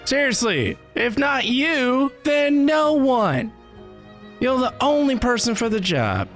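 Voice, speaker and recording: A woman's voice: high-pitched, very animated, slow pace, faint music behind. A woman doing casual game reaction commentary with playful, blunt asides. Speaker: reaction streamer.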